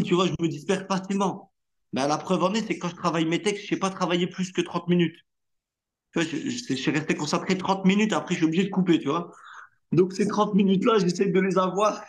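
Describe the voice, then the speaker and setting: A man, an actor whose first language is Arabic, telling his story in a relaxed interview. He talks in a loose, slangy way with lots of fillers and quick asides. His voice sits fairly high for a man. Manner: animated anecdote-telling with street slang and many fillers.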